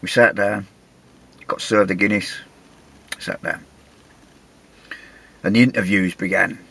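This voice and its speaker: Gravelly English man spinning a yarn. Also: fairly low pitch, steady tone, slow pace, sharp tone. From England. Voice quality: gravelly, husky. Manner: chatty, wry, self-mocking. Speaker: vlogger telling a travel story. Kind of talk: casual anecdotal storytelling.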